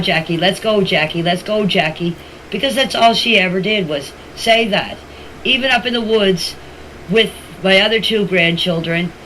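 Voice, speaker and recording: Older woman with a bright, forceful voice. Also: on the low side. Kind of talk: informal voice messages to a group chat. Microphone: phone voice message. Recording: noisy amateur voice message recording.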